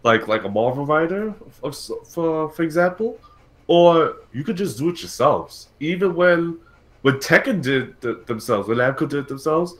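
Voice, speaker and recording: A man hosting a casual video game podcast, talking in a halting, rambling way and often repeating his words. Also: a little high, expressive, a bit bright. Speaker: podcast host.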